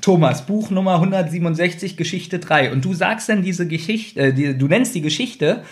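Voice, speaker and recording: A man's voice: sits high, normal speed. A man co-hosting a podcast, chatting casually with teasing, insistent banter over a shared microphone in a living room. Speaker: podcast co-host. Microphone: one microphone shared by two people.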